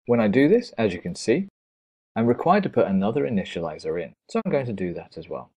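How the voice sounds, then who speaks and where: A man's voice: fairly low pitch, big pitch swings, quick pace, mellow. A man narrating a step-by-step programming screencast as an instructor, in a methodical, explanatory way.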